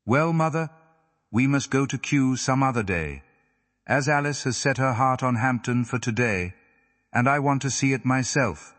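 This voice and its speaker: A man voicing a gentle but firm young son in a storybook reading. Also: medium pitch, moderate pace, in a large hall, voice pushed up, close to an everyday voice. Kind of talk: audiobook character voice.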